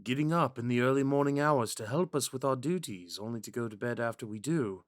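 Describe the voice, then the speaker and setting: A man playing a kind, shy young prince in a romantic ASMR roleplay, speaking gently and hesitantly. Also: medium pitch, brisk, no room sound, clean studio sound, close to an everyday voice. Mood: gentle, curious. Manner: soft-spoken, hesitant, stammering when flustered. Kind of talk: ASMR roleplay, scripted monologue to a listener.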